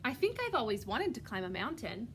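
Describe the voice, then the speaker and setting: A woman's voice: on the high side, big pitch swings, a little fast, fairly bright tone, slight background noise. A woman voicing a small, innocent toy bear from a storybook, naive and full of wonder. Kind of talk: storybook read-aloud.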